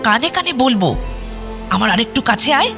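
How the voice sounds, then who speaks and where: High, animated, scolding ghostly mother. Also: moderate pace, creaky, over music. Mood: doting, conspiratorial. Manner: scolding, teasing, colloquial. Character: sharp-tongued, doting dead mother speaking from her photograph. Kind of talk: broadcast radio drama acting.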